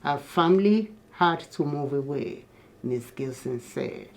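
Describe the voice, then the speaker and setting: A woman's voice: low voice, expressive, slow, vocal fry, a little hiss or hum. A woman reading a children's picture book aloud in a teacherly manner, explaining things to young listeners. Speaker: guest storybook reader.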